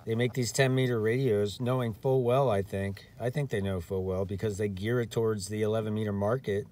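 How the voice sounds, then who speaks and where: A man talking casually on his own as an amateur radio operator, rambling with many fillers and restarts and clearing his throat now and then. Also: fairly low pitch, fairly even intonation, fairly quick, dark tone, slight background noise. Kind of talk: casual unscripted monologue.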